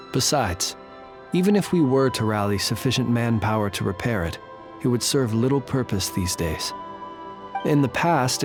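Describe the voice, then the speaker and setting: Game guardian: man playing a polite, formal keeper of the tombs, unhurried and precise, faint music underneath. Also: mid-range voice, lightly acted. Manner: formal, measured, old-fashioned phrasing. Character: courtly male graveyard guardian, calm and formal. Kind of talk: video game dialogue.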